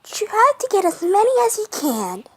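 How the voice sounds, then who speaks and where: A woman's voice: very high-pitched, wildly swooping pitch, normal speed, fairly bright tone, no room sound, pitched above the performer's own voice, exaggerated voice. A woman voicing a falsely cheerful flower creature in an amateur comic dub, with a chirpy, overly friendly manner. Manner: chirpy, overly friendly, mid-word restarts.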